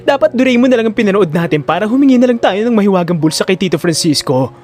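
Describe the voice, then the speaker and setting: A man's voice: high-pitched, lively intonation, fast, darkish tone, soft background music, pitched above the performer's own voice. A man voicing a flamboyant, dramatic grown son in a comic audio drama, campy, whiny and full of complaint. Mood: whiny, exasperated.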